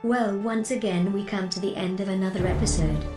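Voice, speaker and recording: A woman's voice: mid-range voice, steady tone, fairly quick. A woman narrating a scripted episode recap as the show's narrator. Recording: roomy echo under loud music. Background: loud music.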